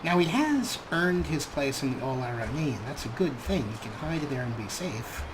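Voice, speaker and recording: A man hosting a let's play, commenting on the game and speaking to his viewers in a relaxed, wry way. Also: medium pitch, moderate pace, background noise. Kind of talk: gameplay commentary.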